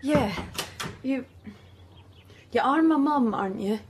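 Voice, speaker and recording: An actress playing a shaken, sarcastic teenage daughter in a radio drama, dry and hesitant. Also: female voice, high voice, animated, slow pace, a little hiss or hum.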